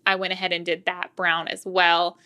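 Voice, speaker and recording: Bright-voiced woman teaching a craft tutorial. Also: on the low side, little pitch movement, normal speed, smooth. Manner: informal, talking through each step with asides. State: voice getting slightly scratchy. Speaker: cookie decorating tutorial host. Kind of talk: conversational step-by-step craft tutorial.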